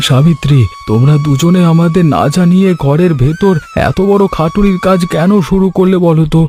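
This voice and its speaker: A man voicing an earnest, caring village husband and farmer in a Bengali cartoon story, with a sentimental, measured delivery. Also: fairly high pitch, mellow, faint music behind, natural voice. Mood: heartfelt, concerned. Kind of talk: Bengali animated moral story dialogue.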